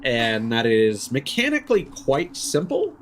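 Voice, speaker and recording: A man's voice: mid-range voice, wildly swooping pitch, moderate pace, slight background noise. A man doing casual live game commentary, chatting with viewers and rambling as he thinks aloud. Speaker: live streamer playing a video game.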